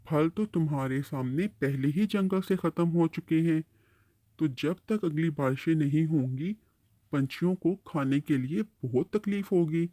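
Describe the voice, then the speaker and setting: A man's voice: fairly high pitch, moderate pace, dark tone, no room sound, lightly acted. A man playing a kindly uncle tree in a bird cartoon, patiently explaining and advising. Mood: encouraging. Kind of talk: Hindi-Urdu cartoon storytelling dialogue.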